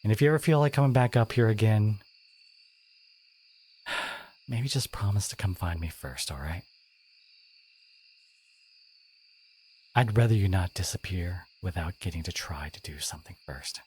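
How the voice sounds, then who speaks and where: Low-voiced, sardonic teenage school bully played by a man. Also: expressive, a little hiss or hum. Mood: vulnerable, gentle. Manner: halting, soft-edged, sardonic, confiding. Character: gruff teenage school bully, secretly wounded and caring. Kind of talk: intimate audio roleplay monologue.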